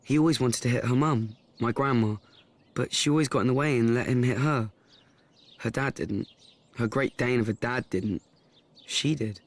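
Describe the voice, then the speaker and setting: An actor playing a young nephew who frankly recalls his troubled family in a halting, self-mocking, confiding radio play monologue. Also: medium pitch, close to an everyday voice. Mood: frank, wistful. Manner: halting, repeats himself, conversational asides.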